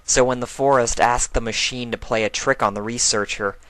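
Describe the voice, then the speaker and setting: A grown-up mare, put on by a storyteller, speaking a little above the narration with gentle firmness. The pony sounds like a watchful teacher spinning a cautionary tale, in a dry, close storybook recording. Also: medium pitch, steady tone, a little fast, no echo, lightly acted. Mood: ominous. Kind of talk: dramatised story reading, cartoon pony.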